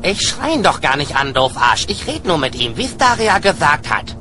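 A man voicing a dull, crude, bossy teenage boy slacker in an animated comedy dub, with slow-witted, drawn-out hesitations and a dismissive manner. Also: a little high, slight background noise. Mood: annoyed.

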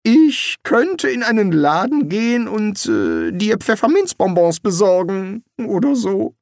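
A narrator voicing a nervous male wizard who bluffs as a demon, sarcastic and hesitant, with a strained high voice full of ums. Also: very animated, measured pace, vocal fry, a little mellow, no echo. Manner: sarcastic, evasive, pleading. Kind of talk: audiobook reading.

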